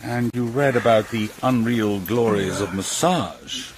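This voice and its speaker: An actor playing a chummy, pompous health-clinic doctor in a radio drama, husky and effusive with flowery phrasing. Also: male voice, on the low side, a little slow, noisy background, lightly acted.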